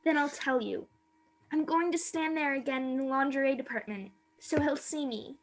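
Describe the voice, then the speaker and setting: A woman's voice: high-pitched, moderate pace, low-quality recording. An actress in a radio play playing a naive, romantic young woman who confides her longing earnestly. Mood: dreamy, longing.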